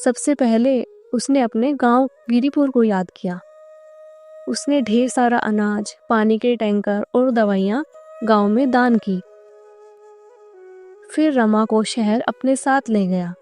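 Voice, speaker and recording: A woman's voice: fairly high pitch, takes it slowly, a little mellow, soft background music. A woman narrating a scripted moral story for a cartoon.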